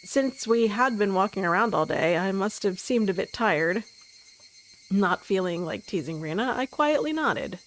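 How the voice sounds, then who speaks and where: A woman streamer narrating a visual novel live, with expressive storytelling. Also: medium pitch, normal speed, a little hiss or hum, poor audio. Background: soft game music.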